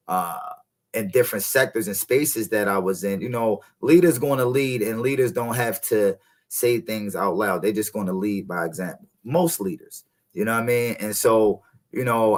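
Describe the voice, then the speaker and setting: A man in his thirties, a guest coach, speaking in a relaxed, reflective way and often adding "you know what I mean". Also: on the low side. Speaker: podcast guest, encouragement coach. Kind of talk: reflective casual conversation.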